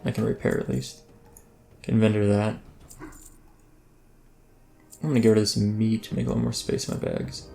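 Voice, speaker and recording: A man's voice: lowish voice, little pitch movement, moderate pace, gravelly, long pauses, warm tone, soft background music. Man rambling through gameplay commentary. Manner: rambling, self-interrupting, chatty. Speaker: let's play host.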